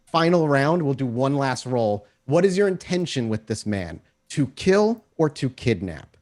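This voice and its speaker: A man's voice: mid-range voice, very animated, measured pace, darkish tone. A man running a tabletop role-playing game as game master, narrating scenes in descriptive, cinematic detail.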